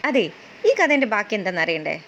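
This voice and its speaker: A woman's voice: very animated, quick pace, dull audio, heavy background noise. A woman voice acting a fan-dub story, talking in a playful, teasing way. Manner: playful, teasing, shifts to shrill panicked pleading. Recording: background music under the voices.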